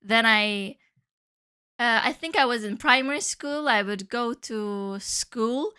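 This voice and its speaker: A young woman from Northern Cyprus, a native Turkish speaker, musing softly about how she started creating. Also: a little high, slow pace, smooth. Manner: soft, musing, many fillers. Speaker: musician sharing her story. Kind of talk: reflective personal monologue.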